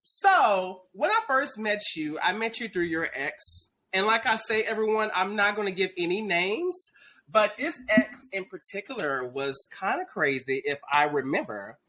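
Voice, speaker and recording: A man's voice: high voice, big pitch swings, moderate pace, sharp tone, rough recording. A man in his thirties from Dallas hosting a casual interview show over a video call, teasing and gossipy in manner. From Dallas, Texas. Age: 30s. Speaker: podcast host.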